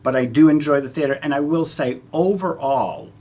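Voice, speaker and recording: A man speaking as a theater panelist, sharing personal anecdotes in a halting way, often repeating words. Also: on the high side, warm tone. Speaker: panelist, community theater actor. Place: public panel event, live audience. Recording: clear background room noise.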